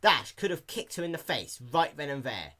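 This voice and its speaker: A man narrating a story as an amateur fan fiction reader, in an animated scripted style. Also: on the high side, normal speed.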